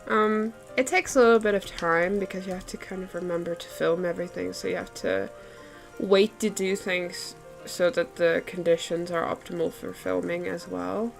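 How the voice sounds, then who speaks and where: A woman's voice: lowish voice, fairly even intonation, moderate pace, creaky, faint music behind. A woman whose first language is Afrikaans, chatting casually and laughing often while she draws on a live stream. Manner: chatty, giggly, lots of fillers like "like". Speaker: artist streaming a drawing session. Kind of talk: casual chat while drawing.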